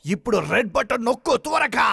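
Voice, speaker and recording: A man's voice: very high voice, expressive, fairly quick, gravelly, heavy background noise, full character voice. A man voicing a hot-tempered, bossy mad scientist villain in a children's cartoon, theatrical and barking orders. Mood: exasperated, commanding. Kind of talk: animated children's cartoon acting. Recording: background music under the voice.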